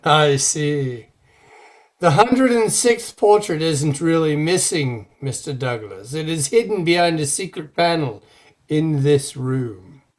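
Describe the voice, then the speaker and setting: An actor playing a grave, formal man who recounts a dark legend with deliberate drama, in old-time radio horror style. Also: on the high side, expressive. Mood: ominous, formal.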